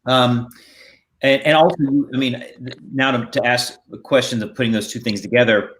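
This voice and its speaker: A man's voice: mid-range voice, moderate pace, fairly bright tone, low-quality recording. A man hosting an interview over a video call, asking questions in a casual, rambling way. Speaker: interview host. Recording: video call with faint noise.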